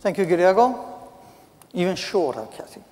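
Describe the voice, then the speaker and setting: A man in his fifties moderating a panel in a church hall, relaxed and joking, passing around a handheld mic. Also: high voice, moderate pace. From Australia. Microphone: shared handheld mic. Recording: echoey hall.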